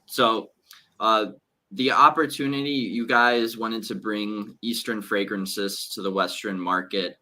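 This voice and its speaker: A man's voice: fairly low pitch, steady tone, slow pace, bright, forceful tone. A man hosting an interview over a video call, upbeat and enthusiastic. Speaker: interview host.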